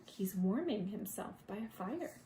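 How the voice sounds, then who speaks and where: A woman reading a children's storybook aloud as a preschool teacher, with expressive delivery and playful asides for young listeners. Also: medium pitch, a little slow, a little hiss or hum, rough recording.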